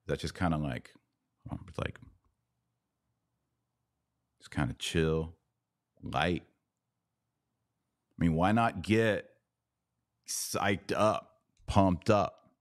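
Deep-voiced guy doing a live podcast rant, slow and sarcastic, dry close mic. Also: no echo. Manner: sarcastic, emphatic, mocking asides. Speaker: podcast host. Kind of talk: livestreamed commentary podcast.

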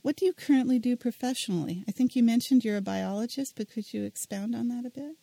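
Soft-spoken woman podcast host. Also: a little high, quick pace, creaky, no room sound. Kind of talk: scripted intro and interview questions.

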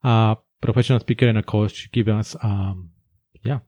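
A man with a Finnish accent hosting an interview, hesitant and quick to laugh. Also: lowish voice, steady tone, warm tone, no room sound. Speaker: podcast host.

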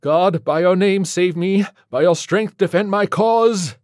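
A man's voice: mid-range voice, lively intonation, unhurried, no room sound, clean studio sound, pitched above the performer's own voice. A man voicing a steadfast, commanding priest exorcist in an audiobook, solemn and declamatory. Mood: commanding exorcism. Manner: solemn, declamatory prayer and rebuke.

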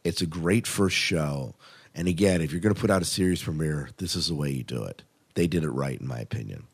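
Male podcast co-host, deep voice, casual and enthusiastic reviewer with emphatic delivery. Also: a little fast, husky. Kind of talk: casual review chat about TV premieres.

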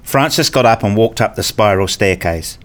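Man playing an earnest hobbyist dad, lowish voice, first-person storyteller, scripted radio drama narration and dialogue. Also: moderate pace, fairly bright tone, natural voice. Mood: descriptive. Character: eager married father and hobbyist, a little rueful. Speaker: narrator and main character.

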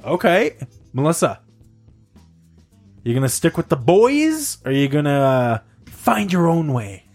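A man hosting a casual quiz game with friends, laughing often and talking in an animated, playful way. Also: a little high, unhurried, faint music behind. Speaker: quiz host. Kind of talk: casual podcast banter.

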